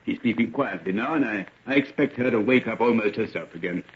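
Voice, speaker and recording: Country doctor male actor, radio drama: low, brisk, reassuring, dull vintage sound. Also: close to an everyday voice. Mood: calm, reassuring. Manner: matter-of-fact, reassuring. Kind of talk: old-time radio drama acting. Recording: dull old radio recording.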